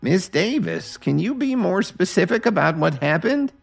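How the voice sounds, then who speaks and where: A man voicing a stern elderly grandmother who scolds her grandson, high and slow. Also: very animated, creaky, slightly rough, faint music behind, exaggerated voice. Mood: puzzled.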